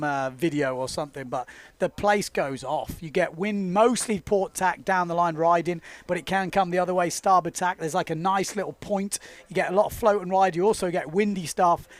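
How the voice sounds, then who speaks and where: A man's voice: sits high, no echo. Chatty man commentating live. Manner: chatty, upbeat, jokey banter.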